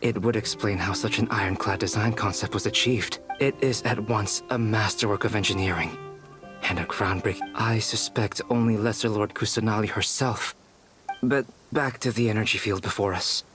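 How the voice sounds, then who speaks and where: A man playing a calm, erudite young alchemist in a video game, speaking in a composed, precise, scholarly manner. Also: lowish voice, moderate pace, music in the background, natural voice. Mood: absorbed, musing. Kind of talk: scripted video game voice acting.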